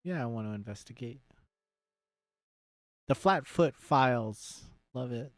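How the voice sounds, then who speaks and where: A man's voice: medium pitch, animated, unhurried, dry recording, clean studio sound. A man giving casual, laid-back let's play commentary as he streams a video game.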